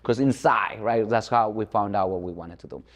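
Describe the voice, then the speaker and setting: A man from the Dominican Republic speaks as an interview guest in a fairly low voice. His delivery is conversational and informal, with frequent check-ins to the listener. The recording carries a hall-like reverberation. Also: moderate pace. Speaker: architect, guest.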